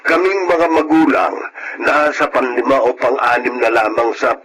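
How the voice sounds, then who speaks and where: An actor playing a stern, protective older Filipino father giving deliberate, admonishing advice in a radio drama. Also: mid-range voice, fairly bright tone, slight background noise, lightly acted, rough recording.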